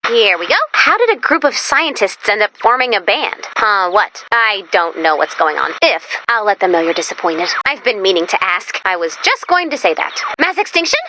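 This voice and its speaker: A woman playing a chipper, nerdy robot helper in a video game, speaking in an eager, quirky, expressive way. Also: medium pitch, moderate pace, few pauses, slight background noise, low-quality recording. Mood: curious, upbeat, then puzzled, deflated. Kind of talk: video game character voice lines.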